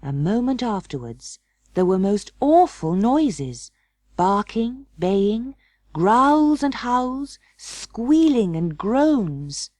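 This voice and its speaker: Slow, animated woman reading a storybook. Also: mid-range voice, dry recording. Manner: measured, dramatic storytelling. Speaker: audiobook narrator. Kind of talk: expressive children's storybook reading.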